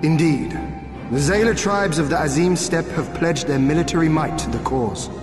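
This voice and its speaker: An actor playing an earnest, commanding exiled lord in a fantasy game, speaking formally and statelily. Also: male voice, on the high side, normal speed, lightly acted. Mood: gracious, assured. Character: noble exiled lord leading his homeland's liberation, earnest and commanding. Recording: echoey reverberant hall, music behind.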